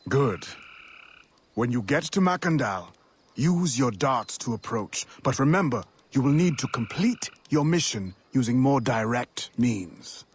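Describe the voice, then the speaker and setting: An actor playing a measured, instructive mentor to an assassin in a video game. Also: male voice, mid-range voice, very animated, slight background noise. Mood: calm, instructive. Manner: measured, authoritative.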